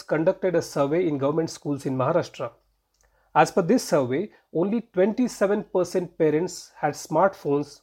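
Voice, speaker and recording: A man's voice: a little high, a little mellow, breathy edge. A man with an Indian accent reading scripted news narration as a podcast host and reporter.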